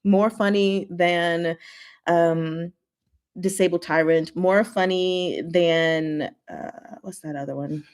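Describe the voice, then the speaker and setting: A woman's voice: on the low side, takes it slowly. A woman hosting a casual chat and Q&A, reading and answering comments in a giggly way with emphatic short verdicts. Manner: giggly, animated asides with emphatic one-word verdicts. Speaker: host of a chat and Q&A stream.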